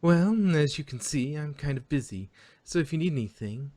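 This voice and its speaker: Frazzled young pony princess, played by a man, raised pitch, swooping and exasperated, for a cartoon-style audio drama. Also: animated, normal speed, mellow. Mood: busy. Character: Twilight, stressed, irritable young pony princess, female.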